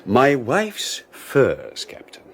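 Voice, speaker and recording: An actor playing a suave, scheming husband in a 1980s radio drama, smooth, smug and mocking. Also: male voice, medium pitch, huge pitch swings, very slow, exaggerated voice. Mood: ingratiating. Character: suave scheming male husband, middle-aged.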